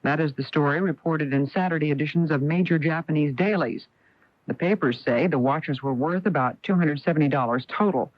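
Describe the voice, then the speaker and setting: A man's voice: fairly high pitch, brisk, no echo. An American man reading a scripted newscast as a radio anchor. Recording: dull lo-fi vintage AM radio.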